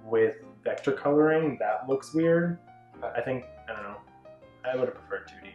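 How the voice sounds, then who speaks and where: A man's voice: medium pitch, animated, normal speed, lo-fi sound. A man hosting a casual panel review, speaking conversationally as he gives his opinions. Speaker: review show host. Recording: roomy echo with faint music.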